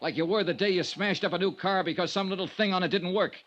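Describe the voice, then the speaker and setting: An American actor playing a steady, hard-boiled insurance investigator in a vintage radio noir drama. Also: male voice, high-pitched, quick pace, highs cut off. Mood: urgent, firm. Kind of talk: 1950s radio noir acting and narration.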